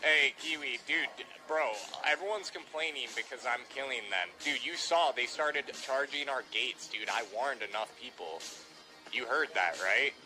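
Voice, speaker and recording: A young man playing a roleplay shooter game, giving quick orders and calling everyone dude. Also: mid-range voice. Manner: bossy, gives quick orders, says dude a lot. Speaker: gamer streaming roleplay. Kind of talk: casual game chat. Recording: music playing behind.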